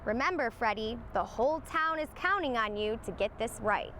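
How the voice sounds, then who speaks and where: A woman plays an earnest storybook frog mayor who counts on his townsfolk. The voice is high and swings widely in pitch, over noticeable background noise. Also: expressive, normal speed, fairly bright tone. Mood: impressed, urgent. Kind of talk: children's storybook character voice.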